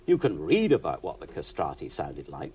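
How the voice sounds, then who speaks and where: A man's voice: a bass voice, wildly swooping pitch, normal speed, warm tone, breathy edge. A man speaking as an expert presenter, giving enthusiastic, emphatic conversational commentary. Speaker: presenter, recorded music expert. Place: television studio.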